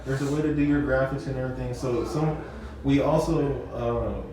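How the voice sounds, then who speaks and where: A man's voice: mid-range voice, fairly even intonation, normal speed, far from the mic, a crowd in the background. A man chatting casually in an interview, explaining his media teaching work patiently and repeating his points. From San Diego area. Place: indoor party mixer. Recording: echoey event room, off-mic, noisy.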